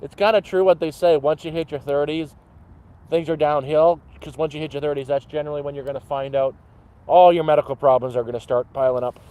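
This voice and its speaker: A man's voice: a little high, fairly even intonation, fast talker, warm tone, low-quality recording. Rambling man in his thirties at a campfire. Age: 30s. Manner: rambling, swears, talks to chat. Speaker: livestream host. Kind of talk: casual livestream chat. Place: outdoors at a campfire.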